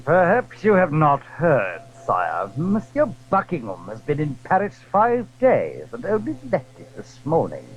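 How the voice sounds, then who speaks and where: Insinuating, villainous cardinal: wildly swooping pitch, deliberate and sly, dull audio drama sound. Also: male voice, huge pitch swings. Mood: sly insinuation. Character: sly, scheming French cardinal. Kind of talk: theatrical audio drama acting. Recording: muffled old audio drama recording.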